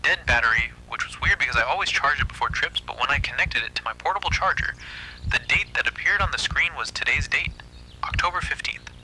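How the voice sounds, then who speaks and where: A man's voice: mid-range voice, expressive, brisk, bright, forceful tone, slight background noise, rough recording. A man narrating a scripted first-person horror story.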